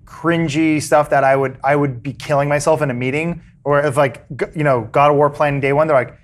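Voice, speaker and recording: A man's voice: a little high, steady tone, brisk, slight background noise. A man chatting on a podcast, rambling with frequent restarts and jokes. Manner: rambling, restarts a lot, jokey. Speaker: podcast regular. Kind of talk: casual chat.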